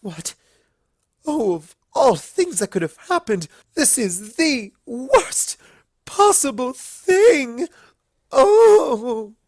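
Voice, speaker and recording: A woman voicing a dainty, melodramatic female cartoon pony in a theatrical, drawn-out manner. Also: sits high, sing-song, darkish tone, no room sound, studio quality, voice pushed up, full character voice. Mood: horrified, wailing.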